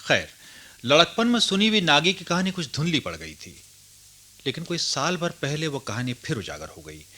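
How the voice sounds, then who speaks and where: A man's voice: a little high, sing-song, moderate pace, bright, forceful tone, heavily acted. A man playing a reflective grown householder who narrates his memories in a radio play.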